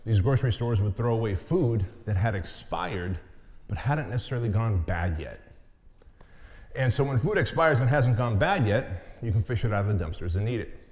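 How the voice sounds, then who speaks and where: A man's voice: on the low side, expressive, normal speed, a little mellow. 40s guy doing a motivational talk, chatty and candid, big echoey hall. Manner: candid, conversational storyteller. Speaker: motivational speaker. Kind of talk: motivational talk with personal story. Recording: long hall reverb.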